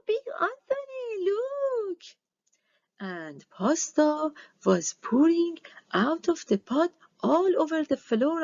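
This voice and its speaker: A woman reading a children's storybook aloud in expressive narration. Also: sits high, gravelly, dry recording, muffled recording. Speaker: storybook reader.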